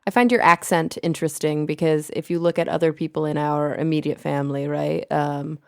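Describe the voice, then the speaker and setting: Low, gravelly-voiced American woman host. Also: steady tone, brisk, dry recording, clean studio sound. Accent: American, slight Southern traces. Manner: curious, prompting questions with frequent affirmations. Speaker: podcast host. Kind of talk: casual interview chat.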